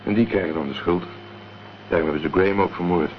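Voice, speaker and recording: A man's voice: low voice, fast, natural voice. A man acting a cool, determined amateur investigator in a Dutch radio crime drama, speaking tersely and matter-of-factly. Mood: analytical. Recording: dull, noisy old radio play recording.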